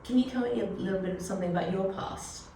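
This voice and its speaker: A woman's voice: medium pitch, quick pace, warm tone. An Australian woman hosting a podcast, chatty and joking, asking her guest questions. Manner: bubbly and joking, laughs easily. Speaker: podcast host. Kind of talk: casual conversational interview. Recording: echoey room, mic at a distance, faint noise.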